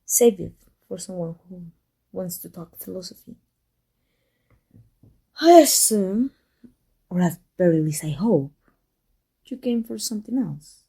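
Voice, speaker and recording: A woman's voice: fairly low pitch, lively intonation, measured pace, creaky, pauses often, mellow, breathy edge, heavily acted. Sarcastic, prickly supervillainess, acted by a woman. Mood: scornful, sarcastic. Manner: sardonic, taunting, theatrical. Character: sarcastic, prickly female supervillain trading barbs with a rival. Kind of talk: ASMR roleplay audio drama.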